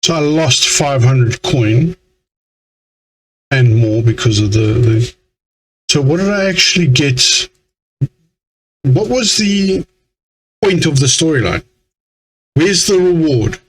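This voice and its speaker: A man's voice: fairly high pitch, animated, slow pace, long pauses, a little hiss or hum, poor audio. A man giving let's play commentary in an exasperated, grumbling way. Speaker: game streamer. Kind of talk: let's play commentary, reading game dialogue aloud.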